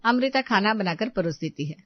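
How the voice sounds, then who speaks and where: A woman's voice: mid-range voice, lively intonation, moderate pace, no room sound, highs cut off. A woman reading scripted narration for a moral story as the storyteller.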